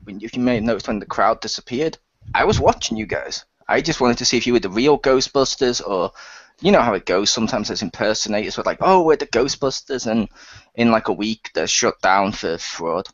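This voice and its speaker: A man's voice: fairly low pitch, animated, fairly quick, no echo, highs cut off. A man playing a skeptical, wisecracking ghost hunter recruit in an improvised role-play radio play, chatty and sarcastic.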